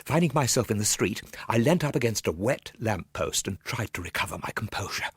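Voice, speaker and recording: An actor playing a nervous, gloomy Victorian gentleman telling a ghost story in a theatrical radio monologue, full of hushed dread and sudden swells. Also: on the low side, animated, brisk, slightly rough, dry recording. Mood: agitated, haunted.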